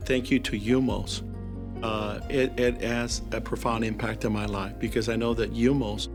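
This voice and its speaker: A man's voice: lowish voice, flat delivery, measured pace, over music. A man running a social service agency, answering interview questions in an earnest, advocating manner. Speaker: agency CEO.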